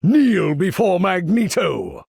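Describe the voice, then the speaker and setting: An imperious older mutant supervillain from a video game. The voice is pitched a little high for a man, husky and heavy with gravel, in a close, dry recording. Every phrase is grandiose and deliberate, carrying menace and formal authority. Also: very animated, darkish tone, dry recording, exaggerated voice. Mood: commanding. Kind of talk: theatrical video game character voice lines.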